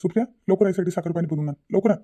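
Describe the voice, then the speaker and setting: A man's voice: high voice, very fast, over music, natural voice. A man playing a concerned, stern adult son in a cartoon family drama, questioning and giving orders. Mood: concerned, commanding. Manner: questioning, commanding. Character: concerned, stern adult son and husband, taking charge at home.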